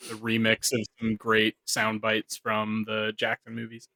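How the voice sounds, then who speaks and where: A man's voice: fairly low pitch, completely flat delivery, measured pace, pushed and bright, dry recording, low-quality recording. A man chatting casually as a producer and panelist on a podcast. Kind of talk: casual chat.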